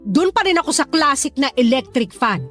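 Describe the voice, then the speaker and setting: A proud, bickering middle-aged mom. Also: female voice, sits high, sing-song, moderate pace, a bit bright, faint music behind. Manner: bickering, defensive. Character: proud middle-aged mother, competitive, sharp-tongued. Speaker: mother.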